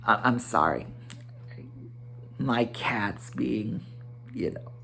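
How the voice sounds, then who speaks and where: Slow-reading man narrating a story. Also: on the low side, expressive, gravelly, pushed and bright, a little hiss or hum. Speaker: amateur audiobook reader. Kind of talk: dramatic storybook reading with ad-libs.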